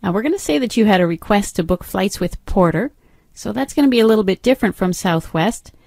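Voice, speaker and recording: A woman narrating an instructional software demo as a trainer, explaining step by step in an instructive way. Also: mid-range voice, expressive, fairly quick, vocal fry, dry recording, clean studio sound.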